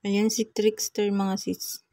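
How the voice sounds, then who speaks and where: A woman's voice: mid-range voice, flat delivery, slow pace, warm tone, dry recording. A woman with a Filipino accent giving a casual, chatty step-by-step tutorial, often repeating her instructions to viewers. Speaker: vlogger giving a phone editing tutorial.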